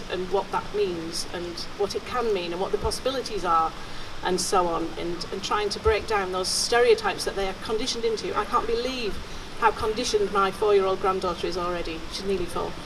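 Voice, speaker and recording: An older woman informally introducing speakers at an outdoor gathering, chatty and halting, repeating words as she goes. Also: mid-range voice, vocal fry. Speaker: event organiser introducing speakers. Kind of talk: informal announcing at a rally. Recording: noisy outdoor recording, distant microphone.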